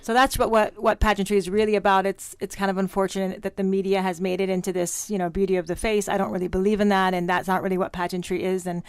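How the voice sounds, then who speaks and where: A woman's voice: mid-range voice, little pitch movement, fast talker, hardly any pauses, clear, resonant tone, dry recording. A woman, a former pageant winner, speaking earnestly as a radio interview guest with many fillers. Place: radio studio.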